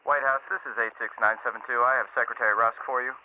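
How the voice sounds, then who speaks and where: An actor playing a brisk, formal military radio operator in an audio drama, clipped and procedural, through a radio filter. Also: male voice, mid-range voice, steady tone. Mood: brisk, businesslike. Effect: two-way radio filter. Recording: tinny, noisy two-way radio link.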